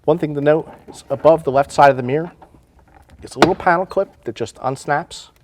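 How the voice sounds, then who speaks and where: A man's voice: on the high side, brisk, very noisy. An American man in his forties giving a casual, plain-spoken step-by-step tutorial as an installation instructor.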